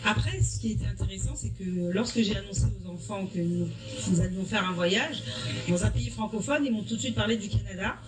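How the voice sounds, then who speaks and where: Earnest woman teacher, distant mic. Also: mid-range voice. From Africa. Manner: earnest, explanatory, with frequent restarts. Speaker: history-geography teacher. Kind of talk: interview answers. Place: evening school event. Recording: distant mic with noisy room.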